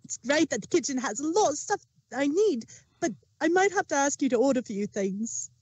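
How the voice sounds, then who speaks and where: Man putting on a squeaky, bubbly female cartoon pony. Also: big pitch swings, brisk, no room sound. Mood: eager. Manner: gushing, breathless chatter.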